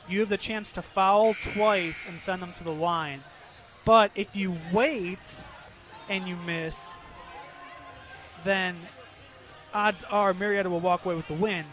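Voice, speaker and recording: A man's voice: high-pitched, unhurried, fairly bright tone, faint music behind. A man working as a color commentator on live radio, talking through game strategy in a conversational way. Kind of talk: live radio sports analysis.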